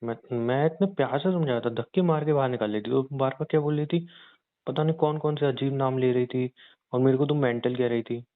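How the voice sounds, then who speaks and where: A man's voice: mid-range voice, brisk, close to an everyday voice. Man playing a pleading young husband, phone-like sound. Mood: hurt, sulky. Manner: coaxing, insistent, aggrieved. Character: pleading, sulky young husband wooing his wife. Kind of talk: staged intimate phone-call style conversation. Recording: thin, phone-like narrowband audio.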